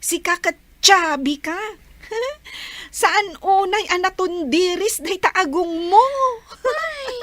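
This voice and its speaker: A woman's voice: extremely high pitch, lively intonation, slow pace, fairly bright tone, exaggerated voice. A woman playing a jealous, mocking young woman in a radio drama, with exaggerated, teasing delivery.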